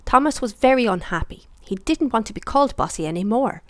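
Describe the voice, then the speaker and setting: A woman reading a children's bedtime story aloud in an expressive storytelling style. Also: a little high, fairly quick, dry recording.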